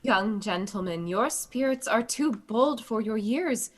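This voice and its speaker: A woman's voice: a little high, lively intonation, measured pace. A woman playing a young, loyal, witty princess in a Shakespearean radio play. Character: young princess, loyal, bright-witted cousin.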